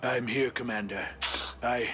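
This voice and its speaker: A man voicing a nervous, evasive small alien diplomat in a video game, formal and halting, through a suit's radio filter. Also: mid-range voice, fairly even intonation, fairly bright tone. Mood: uneasy. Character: nervous, evasive male alien Volus diplomat, bargaining informant. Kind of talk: cinematic video game acting. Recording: game music underneath.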